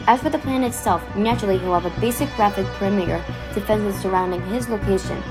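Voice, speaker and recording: A woman's voice: mid-range voice, fast talker, music in the background, lightly acted. A woman playing a no-nonsense, impatient time-travelling commander in an audio drama, curt and businesslike as she explains. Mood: businesslike briefing.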